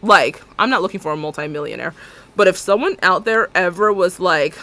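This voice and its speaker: Chatty woman with a deep alto voice. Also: lively intonation, a little fast, bright, forceful tone. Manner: rambling and chatty, laughs often, talks to chat. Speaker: streamer. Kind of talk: casual chat while live-streaming a building game.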